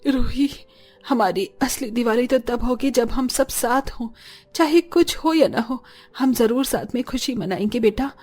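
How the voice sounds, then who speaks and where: High-voiced gentle poor mother played by a woman. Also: measured pace, soft background music. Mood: sad, tender. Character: poor, gentle, hopeful mother and patient wife.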